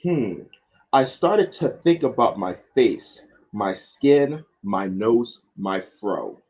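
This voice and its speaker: Male teacher, guest on a read-along stream. Unhurried, husky, lo-fi video-call sound. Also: mid-range voice, big pitch swings, a little mellow. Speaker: guest educator and children's book reader. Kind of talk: storybook read-aloud and informal talk. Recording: dull lo-fi online stream.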